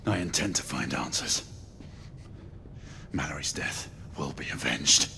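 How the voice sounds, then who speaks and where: An actor playing a grieving, vengeful Victorian knight, speaking in terse, hushed, grim lines in a video game. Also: male voice, a bass voice, measured pace, vocal fry, a little hiss or hum, heavily acted. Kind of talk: cinematic video game acting.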